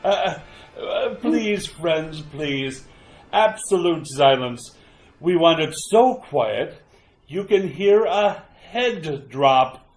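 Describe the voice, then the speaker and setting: A man playing a gleeful, ghoulish horror host in a retro radio drama, theatrical and drawn-out with mock courtesy. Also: fairly high pitch, animated, roomy sound. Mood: mock solemn.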